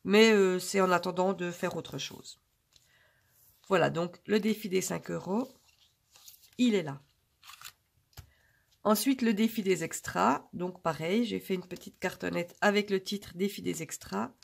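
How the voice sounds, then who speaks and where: A woman talks to her viewers in a loose, rambling way, with lots of pauses and fillers. Her voice is hoarse from a cold and heavily gravelly with vocal fry throughout. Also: fairly low pitch. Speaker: budgeting vlogger. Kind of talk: casual chat to viewers.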